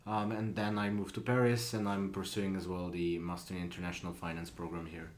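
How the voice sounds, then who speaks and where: A man's voice: sits low, flat delivery, brisk, roomy sound, slight background noise. A man in his twenties from Slovenia, a student guest on a podcast, talking casually and hesitantly with many fillers. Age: 20s.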